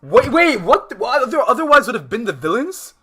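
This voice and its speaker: A man's voice: very high voice, very animated, fairly quick, a bit bright, slightly rough. A man live-streaming a casual reaction, full of slang, speaking emphatically and incredulously and often repeating himself. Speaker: livestream host reacting to a video.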